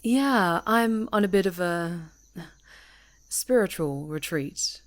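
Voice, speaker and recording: A woman's voice: lowish voice, animated, slow pace. A woman playing a chatty, easygoing camper in an ASMR roleplay, talking conversationally and teasingly to an unheard listener. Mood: friendly, teasing. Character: friendly, chatty woman camper on a woodland retreat.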